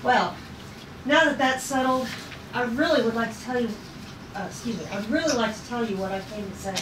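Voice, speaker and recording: An earnest, feisty young woman navigator from a sci-fi script, acted out by a woman. Her voice sits fairly high, heard in an echoey room with clear noise around it. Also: brisk, natural voice. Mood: insistent. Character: earnest, feisty twenty-four-year-old female navigator in sci-fi. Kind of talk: table read acting. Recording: noisy echoey room, distant mic.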